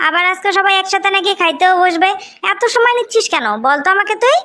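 A woman's voice: very high-pitched, quick pace, bright, forceful tone. A woman with a Bangladeshi accent voicing an impatient, resentful sister-in-law in a cartoon story, scolding and nagging. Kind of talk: animated moral story dialogue.

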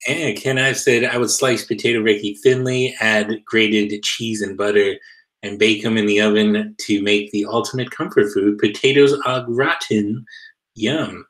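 Lower-pitched man, casual co-host. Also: steady tone, a little slow, a bit bright, rough recording. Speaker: show co-host. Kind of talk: casual banter, reading out viewer comments. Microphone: video call.

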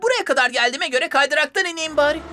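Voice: high-pitched, expressive, fast talker. An actor voicing a playful, easygoing childlike bus in a cartoon, with a cheeky, teasing manner. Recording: prominent music behind the voice.

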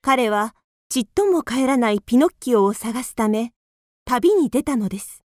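Woman narrating a storybook calmly. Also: on the high side, moderate pace, no echo, clean studio sound. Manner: calm, even storytelling.

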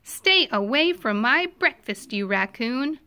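An indignant little girl from a picture book, played by a woman reader, speaks firmly in a high-leaning, bright voice. She is cross and stands her ground. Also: animated, slow, smooth, exaggerated voice. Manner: firm, indignant. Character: indignant little girl shooing animals away.

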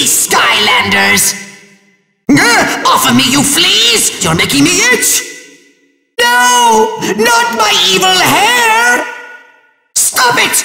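A man voicing a pompous, tantrum-prone little evil overlord in a video game, shrill and gravelly, ranting theatrically. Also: pushed and bright, roomy sound, big-room sound, full character voice. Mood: panicked outrage. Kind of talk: cartoonish video game villain acting.

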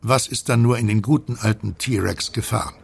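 The narrator of a thriller radio play. His voice is low, very raspy and full of creak, and he tells the story in a dramatic, scripted style. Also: normal speed, exaggerated voice. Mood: suspenseful. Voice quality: gravelly, husky. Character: gravelly male narrator of a conspiracy thriller.

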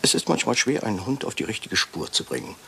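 An actor playing a fastidious, shrewd Belgian detective in a German crime radio play, speaking precisely and probingly with French words sprinkled in. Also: male voice, fairly low pitch, very animated, gravelly, bright, forceful tone, a little hiss or hum, full character voice. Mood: quietly insistent.

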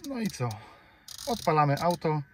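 A man's voice: a little high, animated, moderate pace. A man with a Polish accent giving a casual step-by-step car tutorial in a conversational, slightly hesitant way. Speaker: host of a car DIY tutorial channel. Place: inside a car. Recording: clear background noise, car ambience.

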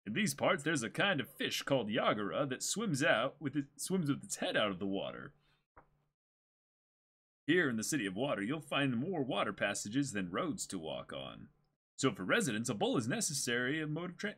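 Man reading a slightly husky, helpful shopkeeper. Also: mid-range voice, very animated, normal speed, fairly bright tone, pitched below the performer's own voice, heavily acted. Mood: explanatory. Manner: explanatory, plain-spoken. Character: matter-of-fact, helpful male boat-rental shopkeeper explaining to customers.

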